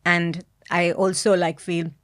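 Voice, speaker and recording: Indian woman talking soft and honest on a podcast, lowish voice. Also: level tone, slow. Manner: soft-spoken, candid, thoughtful. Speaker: guest, business founder. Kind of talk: reflective podcast interview.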